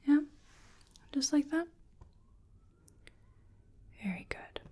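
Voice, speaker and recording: A woman acting a slow, breathy, whispering researcher. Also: a little high. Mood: gentle, attentive. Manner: gentle, polite, unhurried, musing to herself. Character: bookish, polite female cryptid researcher examining a creature. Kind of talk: soft-spoken ASMR roleplay. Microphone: close microphone.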